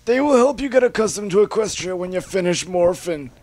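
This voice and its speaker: A shriveled old pony from a fan story , as a male reader puts him on. He is an elderly fellow pitched well up high. The sound is close and dry. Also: expressive, a little fast, darkish tone, no room sound. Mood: matter-of-fact. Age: older. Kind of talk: amateur dramatic reading of fan fiction.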